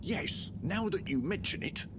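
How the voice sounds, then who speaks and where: A man's voice: high voice, sing-song, measured pace, noisy background. An actor playing a fussy, wordy male secretary in a game, worried about draughts. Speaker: game character.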